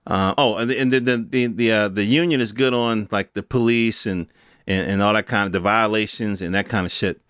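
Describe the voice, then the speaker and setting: Clear-voiced man on a casual rant. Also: lowish voice, fairly even intonation, brisk, fairly bright tone, dry recording, clean studio sound. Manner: informal street slang with swearing; rhetorical questions addressed to listeners. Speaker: podcast host. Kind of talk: casual unscripted opinion rant.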